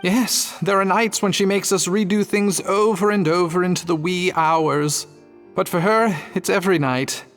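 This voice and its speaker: A man's voice: sits high, fairly even intonation, normal speed, a bit bright. An actor voicing an earnest young man cook who explains things plainly. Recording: game audio with reverb and music.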